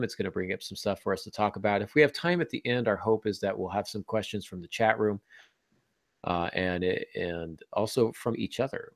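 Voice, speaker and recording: Congested low-voiced man hosting a podcast. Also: creaky, a bit bright, no room sound. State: congested, slightly under the weather. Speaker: podcast host. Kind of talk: casual podcast intro, chatty announcements.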